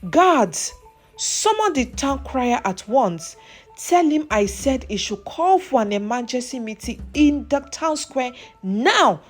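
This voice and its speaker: A commanding, wrathful king from a folktale, played by a woman storyteller. He declaims his orders imperiously, with lively emphasis. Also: high voice, slow, soft background music, pitched above the performer's own voice, heavily acted. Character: enraged, commanding male village king in a folktale. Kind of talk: folktale character voice.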